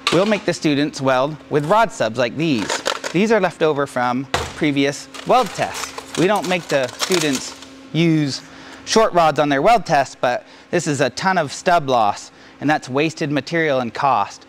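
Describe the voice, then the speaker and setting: A man's voice: a little high, animated, a little slow, background noise. A middle-aged man working as a welding instructor, explaining a hands-on demonstration to camera in a plain-spoken, practical way. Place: large indoor welding shop.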